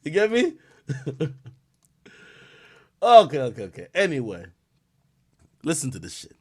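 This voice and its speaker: A man streaming a live reaction, talking in an animated, profane, loud way with bursts of laughter. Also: on the high side, a little slow, breathy edge.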